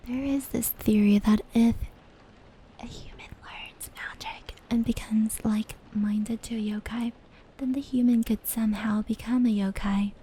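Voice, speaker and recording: A woman playing a gentle, knowing fox spirit in an ASMR roleplay, telling stories in a soft, breathy, soothing voice. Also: fairly high pitch, unhurried, lightly acted, rough recording. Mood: calm storytelling. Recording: steady rain and brushing noise layered in. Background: indoor rain patter and brushing sounds.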